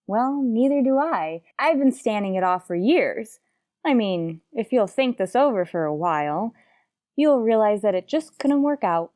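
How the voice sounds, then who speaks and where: A woman playing a quick-witted schoolteacher with dry, sardonic asides in a radio comedy. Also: fairly high pitch, expressive, a little mellow, no room sound. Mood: indignant, sardonic. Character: wry, quick-witted female schoolteacher fending off suitor. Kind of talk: old-time radio comedy acting.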